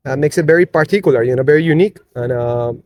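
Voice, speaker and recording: A man, a band's singer, talking in a casual interview with many halting fillers. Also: on the high side, darkish tone, dry recording. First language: Spanish. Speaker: band singer.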